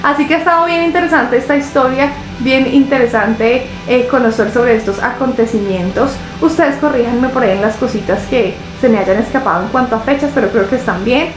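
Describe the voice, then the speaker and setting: A young woman with a Colombian accent, chatting casually to camera from her couch as a reaction vlogger, expressive and full of drawn-out fillers. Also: on the high side, roomy sound, over music, rough recording. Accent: Colombian Spanish. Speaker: reaction video host. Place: living room, seated on a couch.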